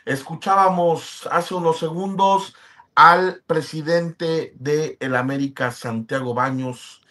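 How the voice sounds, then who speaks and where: A man hosts a sports radio show. He presents in a high voice, greeting listeners and handing over to colleagues. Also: fairly even intonation, slow, sharp tone.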